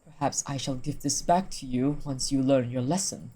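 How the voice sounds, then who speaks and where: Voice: mid-range voice, normal speed, warm tone, close to an everyday voice. A streamer voicing a grieving, wrathful harvest goddess in a visual novel, dramatic and stern. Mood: taunting, commanding.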